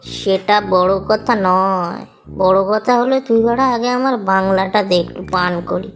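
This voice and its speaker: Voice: mid-range voice, moderate pace, soft background music, close to an everyday voice. An actor voicing a foul-mouthed, lazy man in a comedy cartoon, whining and blustering in exasperation. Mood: eager. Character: foul-mouthed lazy man griping about summer heat. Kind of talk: Bengali comedy cartoon voice acting.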